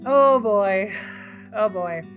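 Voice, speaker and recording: A woman reading a visual novel aloud as a gaming YouTuber, expressive and reacting to the story. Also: medium pitch, drawn-out words, muffled recording, soft background music.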